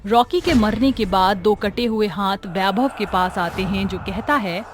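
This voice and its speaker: A woman's voice: medium pitch, unhurried. A woman telling a horror story as a scripted, dramatic narration. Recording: music under the voice.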